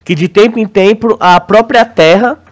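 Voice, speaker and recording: High-voiced Brazilian man chatting casually. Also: normal speed. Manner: slangy, rambling. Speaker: podcast co-host. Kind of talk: casual chat, theorizing about a series.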